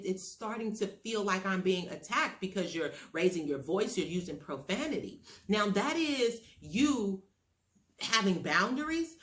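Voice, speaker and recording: A woman's voice: mid-range voice, a bit bright, reverberant. A woman giving an earnest motivational talk, emphatic and repeating her key phrases. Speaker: motivational speaker. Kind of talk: motivational monologue.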